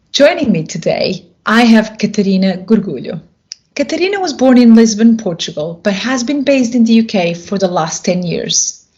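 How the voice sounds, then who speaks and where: Podcast host, woman, steady earnest read, muffled recording, soft music under it. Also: medium pitch. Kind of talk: scripted intro then interview questions. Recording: dull lo-fi sound with faint music.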